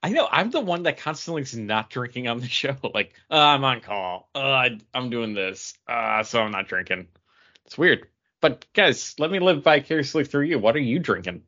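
A man's voice: medium pitch, animated, normal speed, sharp tone, highs cut off. A man co-hosting a podcast in casual chat, rambling through tentative asides. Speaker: podcast co-host.

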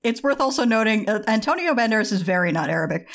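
A woman's voice: on the high side, expressive, fast talker, creaky. A woman on a casual movie podcast, giving blunt, jokey commentary laced with swearing. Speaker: podcast guest.

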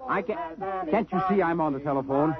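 An actor playing a harried, curt small-town druggist in a 1950s radio drama. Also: male voice, a little high, brisk, over a noisy crowd, lightly acted. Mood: flustered. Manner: flustered and curt. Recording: muffled vintage radio broadcast.

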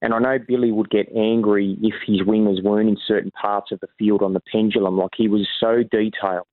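A man's voice: on the low side, level tone, fairly quick, no echo. An Australian man talking casually as a podcast guest, with frequent restarts and self-corrections. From New South Wales, Australia. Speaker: rugby league journalist guest. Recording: dry, phone-like narrow sound.

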